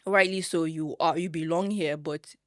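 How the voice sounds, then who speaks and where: Animated Nigerian woman hosting a chat. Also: sits low, normal speed, no echo, studio quality. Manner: animated, laughs often, tells stories with rising excitement. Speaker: podcast host. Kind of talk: casual chat.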